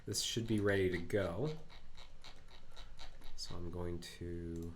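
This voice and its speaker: A man with a phlegmy throat from a cold hosts a live coding stream, thinking aloud in halting, self-correcting speech full of fillers. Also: low-pitched, fairly even intonation, slight background noise.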